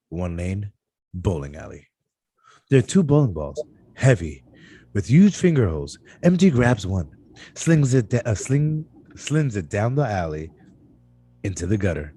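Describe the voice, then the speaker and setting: Deep, husky man reading stage directions. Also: warm tone. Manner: stumbles over words and corrects himself. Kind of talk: live screenplay table read narration.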